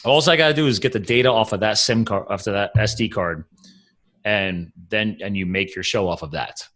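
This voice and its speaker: A man with a fairly low voice talks casually as a podcast host. He rambles in a chatty way, restarting his sentences as he goes. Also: brisk, a bit bright. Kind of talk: casual chat about podcast gear.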